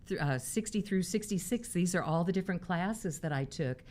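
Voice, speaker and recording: A woman's voice: on the low side, expressive, a little fast, darkish tone, a little hiss or hum. A woman in her sixties from Missouri, a guest chatting and telling stories about her life, often breaking into laughter. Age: 60s. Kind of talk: casual interview chat.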